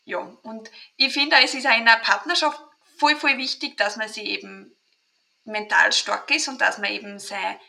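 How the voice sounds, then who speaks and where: A woman's voice: mid-range voice, normal speed, creaky, bright, forceful tone. A woman with an Austrian accent, a mental trainer talking chattily as an interview guest. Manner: chatty, restarts, dialect words like "mei". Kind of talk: interview conversation.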